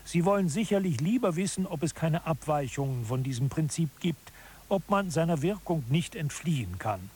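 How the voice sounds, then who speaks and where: An actor playing a self-assured hierarchy expert who explains absurdities gravely, in a didactic, mock-scholarly manner for an audio drama. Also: male voice, fairly high pitch, lively intonation, moderate pace, darkish tone, slight background noise, natural voice. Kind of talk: scripted audio drama lecture.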